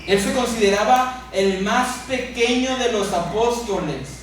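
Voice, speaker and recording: A man with a Mexican accent preaching a sermon as a pastor, speaking emphatically with repeated phrases and pauses for effect. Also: very high voice. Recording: echoey church hall, distant mic, noisy.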